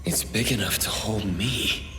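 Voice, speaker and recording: A man's voice: lowish voice, a little slow, background noise, natural voice. A man playing an inquisitive, thoughtful investigator hero in a video game, questioning and pondering as he talks. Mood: puzzled, pondering. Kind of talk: scripted game dialogue. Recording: reverberant hall with music behind.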